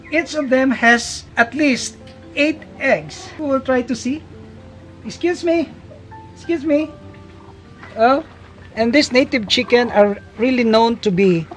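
A man's voice: extremely high pitch, low-quality recording. A middle-aged man, a farm vlogger, giving an upbeat casual tour of his outdoor farmyard and coop while explaining to viewers.

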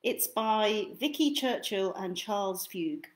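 A young woman reading picture books aloud to children in an expressive, theatrical way, drawing out key words. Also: mid-range voice, slight background noise. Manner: theatrical, deliberate, drawn-out delivery of key words. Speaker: children's storytime reader. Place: small indoor room at home.

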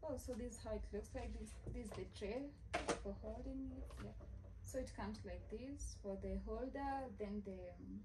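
A young woman talks chattily through a home haul, circling back to the same phrases as she unpacks. She is recorded on a phone set a few feet away on the sofa, and there is clear noise under her voice. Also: on the high side, moderate pace. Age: young adult. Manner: chatty, repeats "yeah" and "this is how it looks like". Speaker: lifestyle vlogger. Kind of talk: casual haul unboxing chat. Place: living room, seated on a sofa. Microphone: phone camera a few feet away.

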